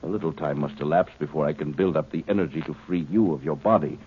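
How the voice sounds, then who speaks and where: An actor playing a cold, superior alien being in a human body, speaking precisely and with detachment, in an old 1950s radio drama. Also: male voice, rumbling low, fast talker. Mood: detached. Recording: dull old radio broadcast with faint hiss.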